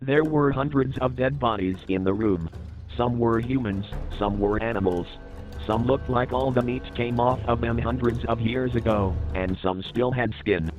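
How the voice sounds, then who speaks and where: A man's voice: mid-range voice, moderate pace, darkish tone, highs cut off, soft background music. A man reading scripted horror narration in the manner of a documentary report.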